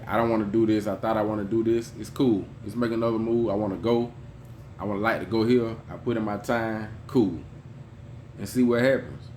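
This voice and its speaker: A man with a fairly low voice reacts informally as host of a commentary show. He stops a clip to speak his mind in a loose, conversational way. Faint noise sits in the background. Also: darkish tone.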